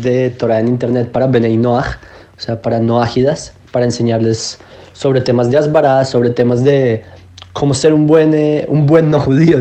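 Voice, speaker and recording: A man's voice: medium pitch, mellow. A man, giving a matter-of-fact spoken self-introduction as a podcast guest. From Colombia, Bogotá. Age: 20s. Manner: matter-of-fact, listing his life in order.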